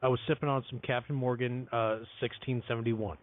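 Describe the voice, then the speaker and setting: A man's voice: mid-range voice, steady tone, fairly quick. A man co-hosting a crude comedy chat, teasing the others with insulting jokes. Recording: phone line with faint noise.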